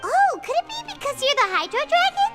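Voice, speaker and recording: A woman voicing a tiny, excitable fairy companion girl in a video game, who talks about herself in the third person. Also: very high voice, a little fast, full character voice. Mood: excited, curious. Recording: reverberant game audio with loud music.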